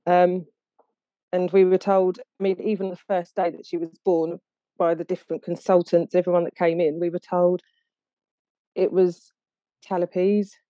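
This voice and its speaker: A woman with an Essex English accent talking casually and a little haltingly as an interview guest. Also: lowish voice, little pitch movement, mellow, no room sound, muffled recording. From Essex, England. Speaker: podcast guest, charity founder.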